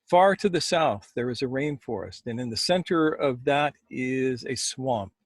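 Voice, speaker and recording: A man's voice: mid-range voice, lively intonation, unhurried, no echo. A man voicing a cryptic, commanding old sage in a storybook reading, with a solemn delivery. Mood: firm, final. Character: cryptic old male sage sending a seeker away.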